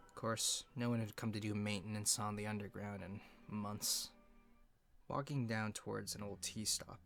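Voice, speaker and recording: A man playing a weary young survivor narrating a reflective audio log, slow and halting, trailing into breathy murmurs. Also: lowish voice, noisy background. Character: weary young male survivor recording a log in an abandoned city. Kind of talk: dramatic first-person audio log narration.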